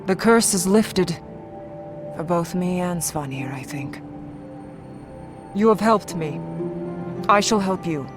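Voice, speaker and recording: A woman's voice: lowish voice, slow pace, pauses often, music in the background. An actress playing a grim, honor-bound warrior woman in a video game, speaking in solemn, stoic declarations. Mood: somber. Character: grim, honor-bound female Norn warrior avenging her family. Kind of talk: dramatic video game cutscene acting.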